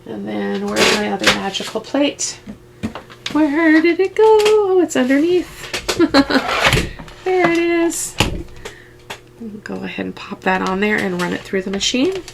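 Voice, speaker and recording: Croaky, stuffy-voiced woman crafting. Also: sits high, expressive, measured pace, pauses often, very noisy. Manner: chatty step-by-step instructions with asides. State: stuffy from a head cold. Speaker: craft vlogger. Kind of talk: casual crafting tutorial.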